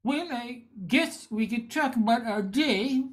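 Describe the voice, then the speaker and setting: A bewildered husband acted by a woman in a radio drama, with a high-pitched voice. The delivery is slow and hesitant, with stammered starts and stretched words. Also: close to an everyday voice. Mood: resigned. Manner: halting, stammering, drawn-out words. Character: befuddled, hesitant husband losing his brain cells. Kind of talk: radio play acting.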